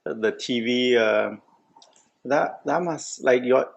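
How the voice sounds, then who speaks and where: Male host, gravelly, halting delivery, casual meal chat. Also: mid-range voice. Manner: halting, restarts often. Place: restaurant table.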